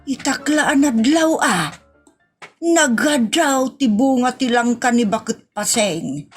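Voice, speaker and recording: A woman performs an outspoken Ilocano village woman in a family comedy radio play. The voice sits fairly high and moves very slowly, stretching out its nagging exclamations. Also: animated, soft background music. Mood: admiring, coveting. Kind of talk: Ilocano comedy audio drama acting.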